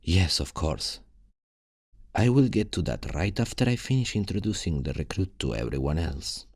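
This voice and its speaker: A man playing a confident, cheeky thief recruiter in a fantasy ASMR roleplay, gravelly and husky, speaking in an unhurried, playful, expressive way. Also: low-pitched, dry recording, clean studio sound. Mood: explaining. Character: confident, cheeky male thief recruiter showing a newcomer around.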